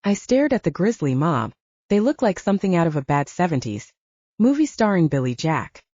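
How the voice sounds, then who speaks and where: A woman's voice: fairly low pitch, big pitch swings, moderate pace, warm tone, dry recording. A woman narrating a scripted first-person story as an audiobook narrator, in a slightly dull recording.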